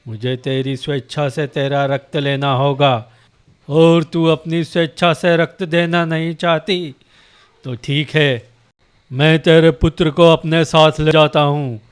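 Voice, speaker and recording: A man voicing a wicked, menacing male demon in a cartoon story, delivering slow, booming threats. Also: flat delivery, smooth, close to an everyday voice. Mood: scheming.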